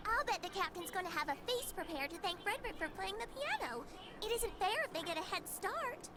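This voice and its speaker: An actress playing a brash, impatient young kid in a dubbed fantasy video game. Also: female voice, extremely high pitch, big pitch swings, a little fast. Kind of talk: English anime-style video game dub.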